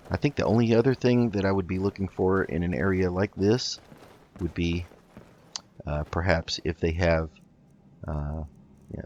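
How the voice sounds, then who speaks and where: A man doing casual game commentary, thinking aloud in an unhurried, musing way as he roleplays. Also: sits low, a little mellow, highs cut off. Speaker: gaming host.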